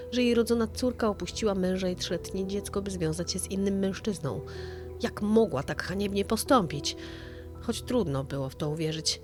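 A woman narrating a scripted true-crime story in an audio drama style. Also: on the low side.

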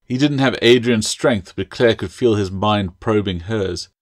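A man's voice: lowish voice, measured pace, no echo. A man reading his own fantasy novel aloud as audiobook narration.

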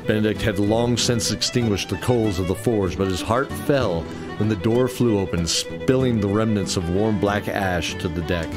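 Male narrator, lowish voice, scripted fantasy storytelling, clear music behind. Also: moderate pace, few pauses.

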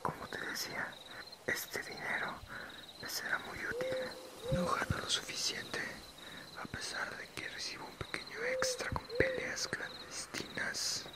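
A man voicing a modest, friendly young male werewolf in a breathy, casual whisper for an ASMR roleplay. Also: extremely high pitch, monotone, a little slow, voice pushed up. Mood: casual confiding. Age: young adult. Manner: casual, unassuming.

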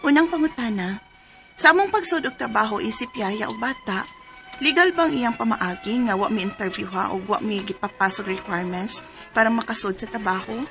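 A woman's voice: on the high side, lively intonation, normal speed, music in the background. An actress with a Cebuano accent playing an aggrieved young nanny who narrates her problem letter in a radio drama. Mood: earnest, questioning. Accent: Cebuano Filipino. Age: young adult.

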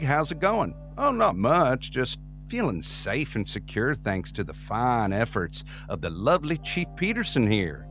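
An actor playing an evasive, smooth-talking man in an audio drama, flippant and wheedling. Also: a little high. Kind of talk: scripted audio drama acting.

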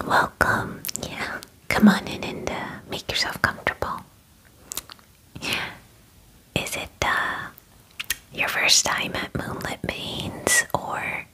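A woman in her twenties whispering an ASMR roleplay as a friendly werewolf groomer, in a breathy, hesitant, chatty way, close to the microphone. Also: takes it slowly, pushed and bright, rough recording. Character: friendly young female werewolf salon groomer. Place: small indoor room at home. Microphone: close microphone, face near camera.

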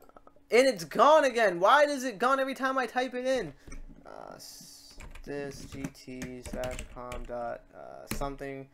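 A man's voice: high-pitched, big pitch swings, a little slow, fairly bright tone. Man rambling through a tutorial, noisy mic. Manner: rambling, thinking aloud while working. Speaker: tutorial host. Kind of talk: casual software tutorial narration. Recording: clearly noisy mic, keyboard clicks. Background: typing and mouse clicks.

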